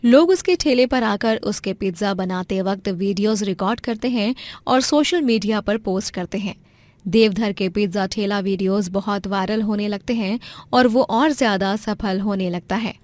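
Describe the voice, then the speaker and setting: A woman's voice: mid-range voice, moderate pace, darkish tone, no echo. A woman narrating a scripted moral story in a dramatic storytelling style.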